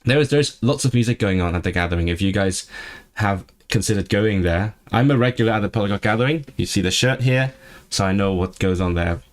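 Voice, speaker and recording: A man's voice: fairly low pitch, fairly quick. A man who is not a native English speaker, hosting a casual livestream and chatting with his viewers as he reads and answers the live chat. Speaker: livestream host.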